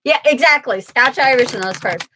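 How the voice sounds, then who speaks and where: A woman's voice: high voice, expressive, normal speed, bright, forceful tone, slight background noise, low-quality recording. A woman from Southern Illinois hosting a tarot livestream, chatting casually and answering viewer comments aloud. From Southern Illinois, USA. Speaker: tarot reader and livestream host.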